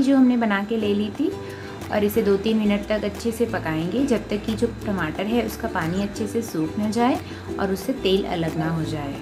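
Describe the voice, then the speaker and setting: Indian woman over prominent music, recipe voice-over. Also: mid-range voice. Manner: instructional, addresses listeners as friends often. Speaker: home cooking video host. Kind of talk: recipe voice-over, step-by-step instruction. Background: prominent background music.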